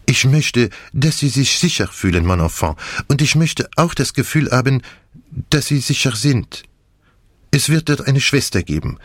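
A man reading an audiobook, voicing a courteous, fatherly gentleman who speaks in a gentle, formal, florid way. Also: mid-range voice, lively intonation, moderate pace, no room sound, clean studio sound, voice pushed up, lightly acted. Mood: soothing, earnest. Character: courteous, fatherly foreign gentleman, earnest and theatrically humble. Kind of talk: audiobook dialogue.

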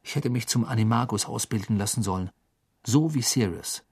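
Weary teen wizard boy, subdued, read by a man, husky and low-key. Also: a little fast, dry recording, studio quality. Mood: wistful. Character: tired teenage wizard boy, glum.

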